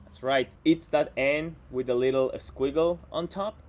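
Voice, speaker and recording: A man's voice: on the high side, measured pace, darkish tone, slight background noise. Peruvian man, Spanish accent, chatty, playful, monologue to camera. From Peru. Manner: chatty, playful, teasing asides. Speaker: comedian and show host. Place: home in Washington.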